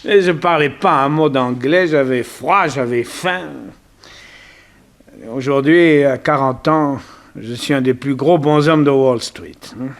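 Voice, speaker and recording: An actor playing a forty-year-old self-made immigrant banker, awkward and blunt, stammering in a 1960s French radio drama. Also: male voice, medium pitch, takes it slowly. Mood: flustered, proud. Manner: hesitant, stammering, repeating words.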